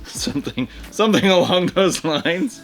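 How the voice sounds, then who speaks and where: A man's voice: high voice, expressive, moderate pace. A man in his forties chatting casually behind the scenes. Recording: music playing behind.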